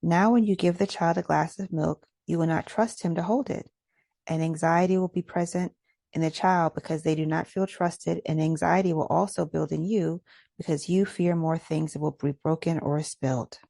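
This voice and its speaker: A woman leading a guided meditation in a gentle, measured, instructional way. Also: deep voice, steady tone, gravelly, no echo.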